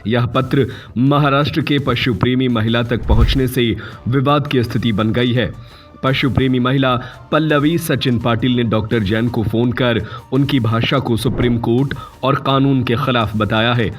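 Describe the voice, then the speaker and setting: A man with a medium-pitched voice narrates a scripted news report. Faint music plays behind his voice-over. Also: steady tone, normal speed.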